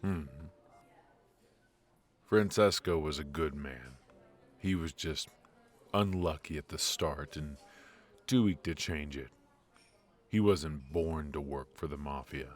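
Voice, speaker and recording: A man acts out a ruthless mafia boss in a noir audio drama, his voice sitting extremely low. He speaks slowly and confidingly, weighted with weariness and long pauses, over clear background music. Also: heavily acted. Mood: mournful. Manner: measured, weary, confiding, with heavy pauses. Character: brooding, ruthless male mafia boss with a hidden purpose. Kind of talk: intimate ASMR roleplay, noir audio drama.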